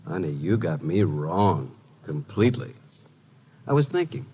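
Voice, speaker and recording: Deep-voiced wisecracking radio detective's sidekick. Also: male voice, very animated, measured pace, highs cut off, slight background noise. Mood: flirtatious. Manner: wry, breezy, smooth-talking. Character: wisecracking, flirtatious male detective's assistant, narrating. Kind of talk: 1950s radio detective drama acting.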